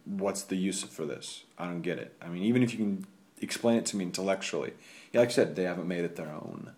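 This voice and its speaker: A man's voice: low-pitched, quick pace, darkish tone. A man hosting a casual conversation, chatty and rambling, asking questions and telling stories. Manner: chatty, rambling, laughs at his own stories. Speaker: podcast host.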